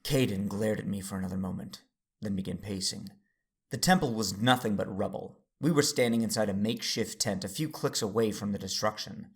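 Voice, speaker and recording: An actor playing a steady, weary Jedi father who narrates his own story in an earnest, measured audio drama style. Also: fairly low pitch, lightly acted. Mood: strained, restrained. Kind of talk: first-person dramatic narration and acting.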